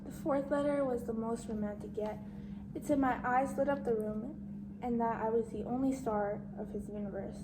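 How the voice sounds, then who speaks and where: Woman acting insecure nerdy teen girl, highish voice, amateur stage monologue, noticeable background noise. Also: steady tone, moderate pace, lightly acted. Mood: dreamy, nervous. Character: shy, insecure, bookish teenage schoolgirl, hopeful outcast. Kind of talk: amateur dramatic monologue reading.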